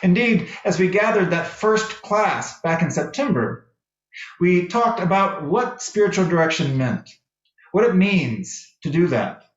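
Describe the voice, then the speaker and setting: A middle-aged American man giving a sermon as a minister, telling personal stories deliberately, phrase by phrase. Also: high voice, very animated. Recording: roomy, echoey, slightly dull sound.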